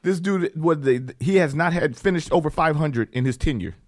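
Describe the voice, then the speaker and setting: A man co-hosting a casual sports talk show, bantering in slang with quick laughs. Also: on the high side, no room sound, clean studio sound. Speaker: co-host.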